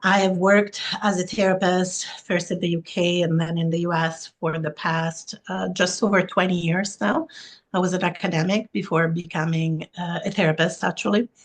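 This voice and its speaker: A non-binary therapist from Italy, with Italian as a first language, being interviewed as a guest expert. They speak warmly and earnestly over a video call, often listing things in long runs. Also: medium pitch, steady tone, moderate pace. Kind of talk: interview, self-introduction.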